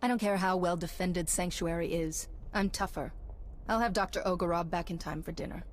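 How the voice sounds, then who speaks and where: A woman's voice: fairly low pitch, brisk, a little hiss or hum, lightly acted. A woman voicing a composed, confident Jedi warrior in a sci-fi game, speaking firmly and formally. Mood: determined. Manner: firm, formal, self-assured. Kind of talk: scripted game dialogue.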